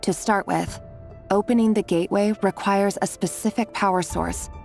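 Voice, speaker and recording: An actress playing a detached researcher in a video game, delivering a report in a precise, formal way. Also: female voice, mid-range voice, steady tone, normal speed, gravelly, darkish tone, natural voice. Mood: clinical. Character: clinical, detached female researcher. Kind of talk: scripted game narration, reading a research report. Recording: game music faintly behind.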